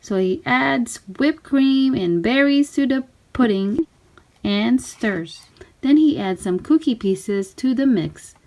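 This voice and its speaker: A woman reading a children's storybook aloud in simple, deliberate phrases. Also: a little high, lively intonation, darkish tone.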